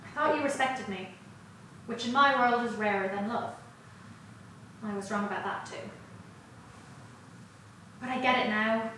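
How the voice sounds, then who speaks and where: A woman's voice: a little high, unhurried, pauses often, a bit bright, a little hiss or hum. A woman playing an earnest, wounded, analytical mathematician in a dramatic staged reading, reflective and hesitant. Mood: wistful, hurt. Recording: very echoey room, distant mic, music.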